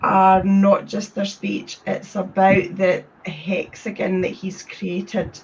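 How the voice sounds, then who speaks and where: A woman's voice: medium pitch, level tone, takes it slowly. A woman, a guest speaking earnestly and emphatically over a video call. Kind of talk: casual interview chat. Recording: distant, dull video-call audio.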